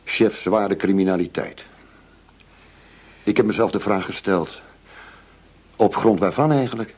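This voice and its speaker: An actor playing an earnest, driven middle-aged police chief inspector in a radio drama, speaking formally, insistently and with measured phrasing. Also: male voice, lowish voice, a little mellow, slightly rough. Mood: dissatisfied, earnest.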